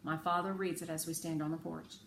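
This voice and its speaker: Bright-voiced woman reading a children's story. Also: sits low, little pitch movement, brisk, vocal fry. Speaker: read-aloud narrator. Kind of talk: children's audiobook-style storytelling. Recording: roomy echo with faint background noise.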